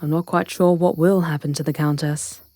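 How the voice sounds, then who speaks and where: Casting: woman as witch commander, low-pitched, practical and conversational, dry close sound, fantasy ASMR roleplay. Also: fairly even intonation, normal speed, mellow, dry recording. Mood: wry, businesslike. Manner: plain-spoken, conversational, explanatory. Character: matter-of-fact witch leader, ally of a vampire hunter.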